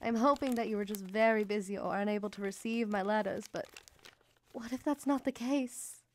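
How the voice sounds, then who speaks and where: A woman's voice: medium pitch, moderate pace, slight background noise, natural voice. An actress playing a devoted young Victorian mother, reading letters to her brother in an earnest, emotive way for a radio drama. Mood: anxious pleading.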